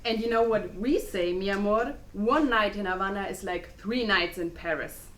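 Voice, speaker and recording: A woman acting a snobbish, fiery pregnant Cuban wife in a dramatic play reading, expressive, with Spanish exclamations mixed in. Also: mid-range voice, moderate pace, lightly acted. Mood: haughty. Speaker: student actor. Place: indoor room, live play reading. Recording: echoey room with faint background noise.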